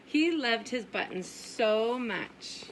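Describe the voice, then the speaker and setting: A woman preschool teacher reading a story aloud for young children in a sing-song, exaggerated way, asking questions and pausing. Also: fairly high pitch, expressive, a little hiss or hum, poor audio. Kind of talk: animated children's story time read-aloud.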